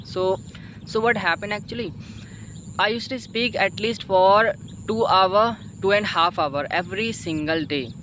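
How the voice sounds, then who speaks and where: A Hindi-speaking man with an Indian accent giving informal English-learning advice in a halting, repetitive, emphatic way, often tagging phrases for agreement. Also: sits high, pushed and bright, a little hiss or hum. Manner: halting, repetitive, emphatic, frequent "you know" and "okay" tags. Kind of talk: informal spoken advice to viewers.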